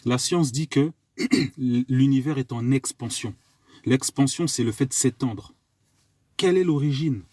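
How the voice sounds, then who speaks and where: Husky-voiced French preacher exhorting. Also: male voice, mid-range voice, unhurried, mellow, dry recording, studio quality. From France. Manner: exhorting, repeats rhetorical questions. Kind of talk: live online sermon.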